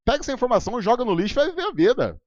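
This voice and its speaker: A man's voice: very high-pitched, very animated, racing, dry recording, muffled recording. A man preaching a sermon as pastor in a Brazilian Portuguese accent, talking conversationally with sarcastic asides and ironic voices. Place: church hall.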